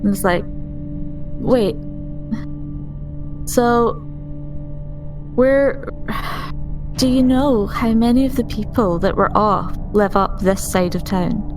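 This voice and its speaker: A woman playing a tabletop game, improvising in character in a deliberate, questioning manner. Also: on the high side, over music. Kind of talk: improvised in-character role-play.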